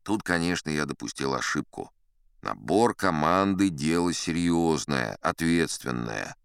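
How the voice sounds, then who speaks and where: A boastful old sea captain in an audiobook drama, with a low, resonant voice that swoops expressively. He reasons pompously through absurd yarns with a straight-faced seriousness and theatrical pauses. The sound is dry and close. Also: animated, creaky, clean tone, no echo, full character voice. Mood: wry, matter-of-fact.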